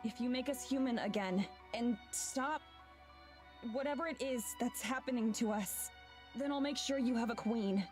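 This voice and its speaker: A woman's voice: on the high side, moderate pace, a little mellow, faint music behind. A woman reading a visual novel's dialogue and first-person narration aloud.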